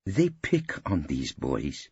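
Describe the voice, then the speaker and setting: A man's voice: very deep voice, wildly swooping pitch, slow pace, a little mellow, breathy edge, dull audio, full character voice. A man voicing an earnest, zealous Welsh solicitor in an audiobook, preachy and optimistic. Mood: hurt, indignant. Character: earnest, eager, indignant Welsh male solicitor.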